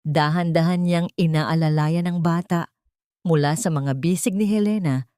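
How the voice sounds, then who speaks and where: A Filipino woman narrating a scripted dramatic story in an expressive, measured way. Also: on the low side, smooth, dry recording, studio quality.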